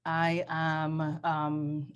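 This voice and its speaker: A woman with a Trinidadian accent talks through her work on a video call. Her voice sits a little low, and she speaks thoughtfully, pausing often and correcting herself as she goes. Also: completely flat delivery, clear, resonant tone. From Trinidad and Tobago. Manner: thoughtful, hesitant, many ums and self-corrections. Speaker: design professor. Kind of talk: casual panel conversation.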